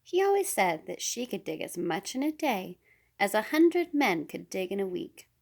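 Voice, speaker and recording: A woman reading a children's picture book aloud as a storyteller, unhurried and with deliberate pauses. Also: medium pitch, big pitch swings, gravelly. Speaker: storybook reader.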